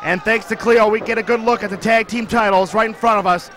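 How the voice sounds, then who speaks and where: A man calling play-by-play for a wrestling show, excitedly naming moves and wrestlers. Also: high voice, brisk, a little hiss or hum. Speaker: wrestling commentator. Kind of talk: play-by-play commentary.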